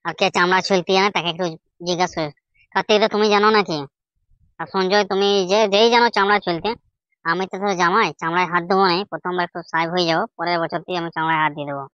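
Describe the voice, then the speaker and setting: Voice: mid-range voice, steady tone, racing, a bit bright, smooth, no echo, dull audio, close to an everyday voice. A performer voicing a crude, scheming village club drinker with coarse colloquial talk in a comedy cartoon. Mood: agreeable, planning.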